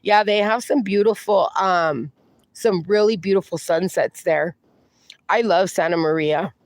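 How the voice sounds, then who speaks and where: A woman's voice: lowish voice, unhurried, dry recording. Chatty woman hosting a livestream. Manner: chatty, reassuring, fillers. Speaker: livestream host. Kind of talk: casual livestream chat reading comments.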